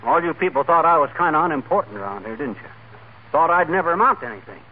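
A man's voice: fairly high pitch, lively intonation, fast talker, lightly acted. An American man playing a blustery, boastful, exasperated everyman husband in 1940s radio comedy style. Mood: boastful, flustered. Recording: muffled, noisy 1940s radio recording.

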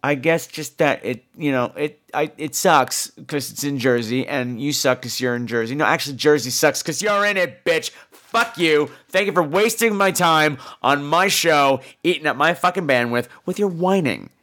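A man's voice: fairly high pitch, expressive, moderate pace, hardly any pauses, fairly bright tone. A man with a laryngitis-sore throat, chatting off the cuff as a podcast host in a campy, catty, sarcastic and sweary way. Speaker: podcast host taking listener voicemails. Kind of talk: casual, off-the-cuff talk show chat.

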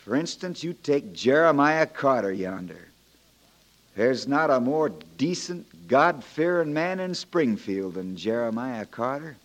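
An actor in a 1940s radio drama playing a young frontier lawyer, folksy, plain-spoken and wry but firm. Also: male voice, mid-range voice, lively intonation, measured pace, close to an everyday voice. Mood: earnest, persuasive. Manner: folksy, plain-spoken, joking, homespun turns of phrase.

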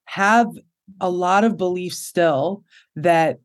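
A woman in her thirties with a low voice, speaking openly and expressively as a guest in an interview, laughing often. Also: slow. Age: 30s. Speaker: podcast guest. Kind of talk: candid conversational interview.

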